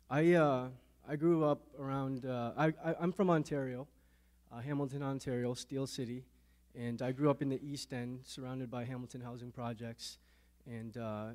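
Soft-spoken man giving a halting testimony. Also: mid-range voice. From Canada, born in a Thai refugee camp to Lao parents. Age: 30s. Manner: halting, repeats words, soft-spoken on hard memories. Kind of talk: earnest faith testimony interview.

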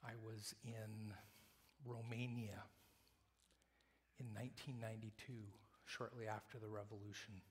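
A male preacher giving a sermon, moving between loud emphatic lines and hushed whispers. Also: on the low side, level tone, a little slow, long pauses. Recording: long reverb of a large hall.

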